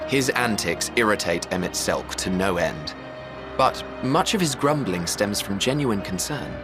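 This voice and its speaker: An actor playing a genial, self-effacing ancient man in a video game, with eloquent, gently teasing, formal phrasing. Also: lowish voice, animated, a little slow. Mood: fond, proud. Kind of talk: video game cutscene acting. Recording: music behind the voice.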